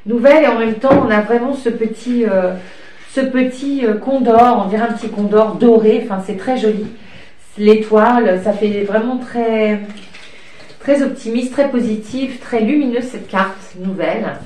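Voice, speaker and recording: Woman, tarot reader, stuffy from a cold, rambling, hesitant, affectionate, mid-pitched, echoey room, distant mic. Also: darkish tone, a little hiss or hum. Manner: rambling, hesitant, with many fillers; affectionate, talks to her pet and child. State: has a cold, coughing. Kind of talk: casual card-reading chat.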